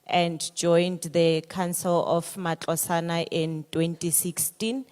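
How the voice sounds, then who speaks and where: Woman from South Africa, low monotone, formal and measured, hall reverb. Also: clear, resonant tone. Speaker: panelist, local government official. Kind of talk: panel introduction.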